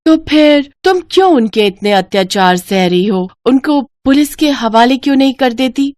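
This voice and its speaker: A woman's voice: sits high, expressive, moderate pace, darkish tone, dry recording, clean studio sound, voice pushed up. A woman voicing a bold, caring young friend from abroad in a storybook tale, direct and exclaiming. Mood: indignant.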